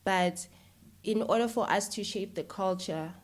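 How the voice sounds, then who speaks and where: A woman's voice: medium pitch, measured pace. A woman with a South African accent talking casually and conversationally as an interview guest. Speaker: interview guest, filmmaker and YouTuber.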